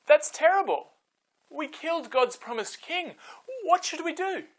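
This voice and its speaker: Astonished crowd member, played by a male storyteller, very high, exclamatory, swooping delivery for children's storytelling. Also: expressive, unhurried. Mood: alarmed. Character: amazed, excitable festival onlookers, high-voiced and bewildered.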